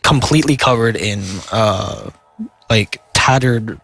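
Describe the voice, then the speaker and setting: A young man with a nasal voice, narrating a tabletop game as game master, halting and filling pauses with "uh" and "like". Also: lowish voice, vocal fry, fairly bright tone, dry recording. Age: young adult.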